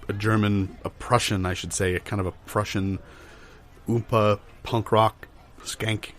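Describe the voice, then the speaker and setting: A man improvising a comic anecdote in a deadpan, matter-of-fact, halting way. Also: fairly low pitch. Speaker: improv comedy performer.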